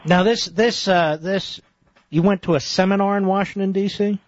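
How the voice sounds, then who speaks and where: A male talk radio host takes a call and presses the caller with pointed questions, often cutting in. His voice sits fairly high. The sound is a little dull, with the top end rolled off. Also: steady tone, dry recording. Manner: probing, interrupting with quick questions.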